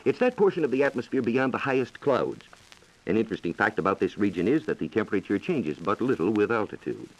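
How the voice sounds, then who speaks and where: A man's voice: fairly low pitch, very animated, quick pace, muffled recording. A man explaining science from a script on a 1940s radio program, formal, precise and authoritative, heard on a vintage broadcast recording. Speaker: science reporter answering listener questions.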